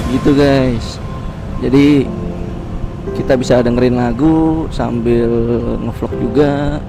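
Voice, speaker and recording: A man's voice: medium pitch, steady tone, slow pace, poor audio. Casual Jakarta man motovlogging over music. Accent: Jakarta Indonesian. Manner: informal, slangy, addressing viewers; talking while riding a motorbike. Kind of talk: casual vlog chat. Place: outdoors on a motorbike on city roads. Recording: music behind, outdoor road and vehicle sounds. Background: music and vehicle noise.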